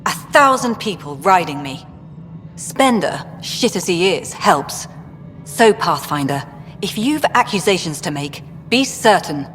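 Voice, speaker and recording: Weary blunt colony director. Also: female voice, fairly low pitch, sing-song, measured pace, a bit bright, soft background music. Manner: curt, tired, blunt. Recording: game audio with long reverb and faint noise.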